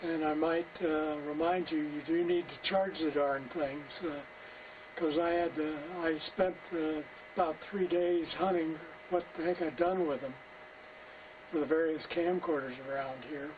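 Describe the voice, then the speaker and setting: Higher-voiced man on a tinny link. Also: steady tone, measured pace. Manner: folksy, rambling. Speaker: amateur radio operator giving an update. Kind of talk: casual rambling check-in on a radio net. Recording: tinny phone-like link, distant, faint noise.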